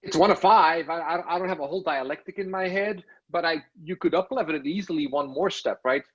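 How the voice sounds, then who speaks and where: A man's voice: fairly high pitch, a little fast, breathy edge, lo-fi sound. A man from Belgium, a podcast guest who thinks aloud in a casual interview, often checking in with "right?" and restarting his sentences. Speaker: podcast guest, tech studio lead.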